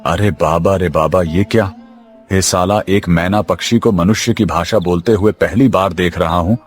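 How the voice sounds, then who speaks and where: Man acting a low-voiced greedy cartoon villain. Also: normal speed, faint music behind, lightly acted. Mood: startled, callous. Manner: brash, mocking. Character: greedy, callous, arrogant thief villain in a cartoon.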